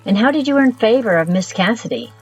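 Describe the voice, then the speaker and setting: A woman playing a shrewd, commanding brothel madam in an audio drama, poised and probing, with a husky voice. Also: a little high, lively intonation, fairly quick, faint music behind, low-quality recording.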